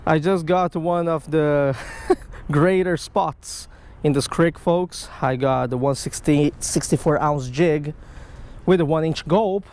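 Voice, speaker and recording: A man giving casual, excitable running commentary while fishing outdoors at a creek, talking to the fish and his viewers, recorded on a chest-mounted action camera. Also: on the high side, slow. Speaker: fishing vlogger. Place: outdoors by a creek under a stone bridge.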